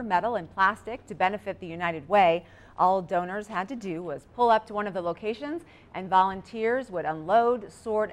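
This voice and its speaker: A female news anchor with an American accent delivers scripted stories in a mid-range voice. She reads as a presenter would in a local newscast. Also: medium pitch.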